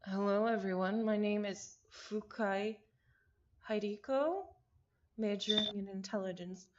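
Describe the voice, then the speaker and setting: A woman's voice: medium pitch, a little slow, muffled recording, slight background noise, lightly acted. A woman voicing a friendly, polite young male college student in a visual novel.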